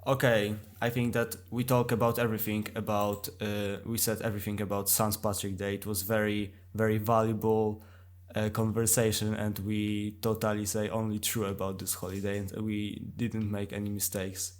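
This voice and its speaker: A man with a non-native English accent co-hosting a casual podcast conversation, speaking haltingly as he searches for words. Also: fairly low pitch, flat delivery. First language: not English. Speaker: podcast co-host.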